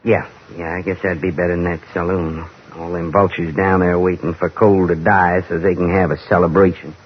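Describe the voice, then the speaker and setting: A man playing a brooding, weary American ex-raider cowboy in a radio western, halting and rambling in a folksy drawl. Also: low-pitched, flat delivery, noisy background, lightly acted. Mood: gruff, uneasy. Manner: halting, rambling, plain-spoken. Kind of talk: old-time radio western acting. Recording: dull vintage radio recording.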